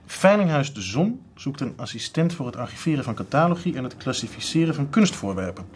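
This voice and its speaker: A man's voice: mid-range voice, big pitch swings, a little fast, a little hiss or hum, close to an everyday voice. An actor playing a frustrated young male student, casual, grumbling and sarcastic, in a modern radio drama. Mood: casual, doubtful. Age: young adult.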